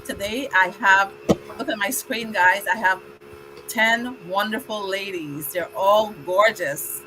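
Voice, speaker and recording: A woman's voice: medium pitch, takes it slowly, noisy background. A middle-aged woman from Guyana hosting a live online show, welcoming guests and reciting a rhyming poem in an upbeat, rhythmic way. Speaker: host.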